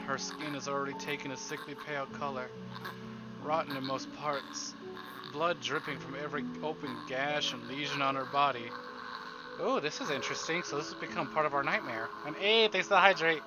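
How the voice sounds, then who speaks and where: A man streaming a visual novel, reading it aloud theatrically and deliberately, with livelier asides. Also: on the high side, rough recording. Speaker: game streamer. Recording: music behind the voice. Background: game background music.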